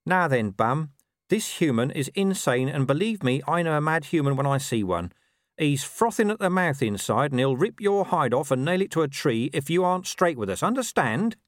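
Guy doing a scruffy cynical talking dog for an audiobook, wheedly and sly, higher pitched, bouncy intonation. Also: big pitch swings, normal speed, no room sound, studio quality. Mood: bullying, conniving. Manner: colloquial, sly, world-weary asides.

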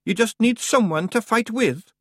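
A man voicing a gruff, weary little warrior in a storybook reading. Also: high voice, lively intonation, moderate pace, dry recording, studio quality, voice pushed up.

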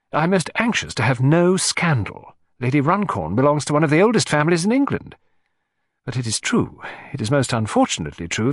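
A man voicing a fussy, excitable society gentleman in an audiobook, with a fluttery, emphatic way of talking. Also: medium pitch, husky, no room sound, voice pushed up. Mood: flustered.

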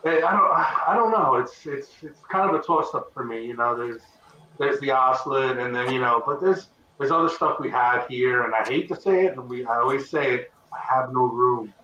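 A man from Texas, answering as a guest over a video call in a rambling, halting way with many restarts. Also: mid-range voice, pushed and bright, slight background noise. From Texas, north of Dallas. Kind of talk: casual interview talk. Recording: roomy, distant, muffled call audio.

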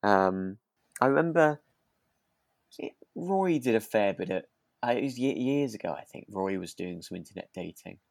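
A man co-hosting a podcast in relaxed, casual chat, hesitant and rambling as he softens his points. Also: lowish voice, moderate pace, creaky, no room sound, studio quality. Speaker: podcast co-host. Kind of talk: relaxed, casual chat reviewing a radio drama.

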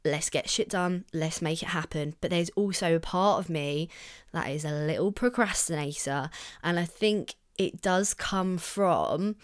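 A young woman chats through her solo podcast with a slightly low, husky voice that is croaky from illness. Under the rasp her tone stays resonant and smooth, and her delivery is loose, upbeat and full of casual swearing. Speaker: podcast host.

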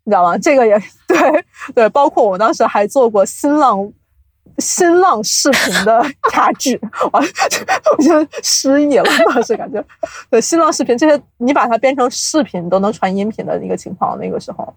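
A woman's voice: a little high, big pitch swings, moderate pace, slightly rough, dry recording. A woman speaking as an interview guest, explaining audio production in casual chat and mixing in English terms.

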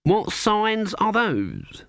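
A man's voice: high-pitched, wildly swooping pitch, very slow, a bit bright, no room sound, studio quality, voice pushed up, full character voice. A man doing a sly old witch woman. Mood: mock-innocent. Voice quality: sing-song, falsely innocent, folksy dialect. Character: shrewd old woman witch, sweetly menacing.

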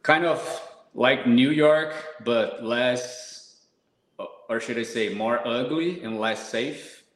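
A man's voice: medium pitch, takes it slowly, reverberant. A man from Brazil, a podcast guest, talking casually and modestly, often restarting his words. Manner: modest, hesitant, repeats words.

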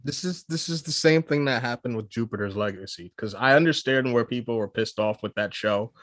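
Guy on a podcast giving his opinion, kinda argumentative, normal pitch, no room echo. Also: brisk, a bit bright, clean studio sound. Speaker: podcast co-host. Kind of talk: casual opinionated podcast discussion.

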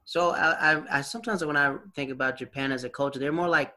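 A man interviewing a guest in a relaxed way, asking probing conversational questions. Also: fairly high pitch, fast. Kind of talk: casual interview.

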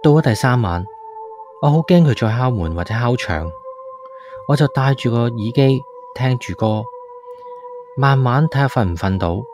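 A man's voice: medium pitch, animated, normal speed, long pauses, natural voice. A man playing a frightened tenant, narrating a horror radio drama in an anxious, suspenseful way. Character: frightened male tenant recounting his haunting. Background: background music.

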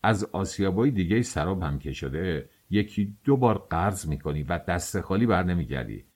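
A man's voice: low-pitched, animated, darkish tone, slightly rough. A man voicing a middle-aged male master baker who gives orders to his worker in a commanding, teasing way. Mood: bantering.

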